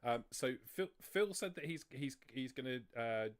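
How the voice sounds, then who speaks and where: A man's voice: mid-range voice, animated, moderate pace. A man narrating and explaining rules as game master of a tabletop roleplaying game, often restarting his sentences.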